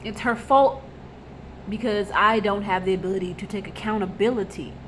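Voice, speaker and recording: A woman's voice: mid-range voice, normal speed, a little hiss or hum, poor audio. A woman, talking bluntly and rawly in a personal confessional vlog, repeating herself and using many fillers. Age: 20s. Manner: blunt and raw, vents with frequent fillers and repeats. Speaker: vlogger.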